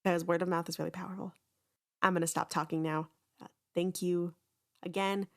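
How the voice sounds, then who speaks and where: A woman's voice: on the low side, little pitch movement, moderate pace. A woman hosting a podcast, talking casually and informally in a self-deprecating way. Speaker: podcast host and creator. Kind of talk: casual chatty podcast announcement.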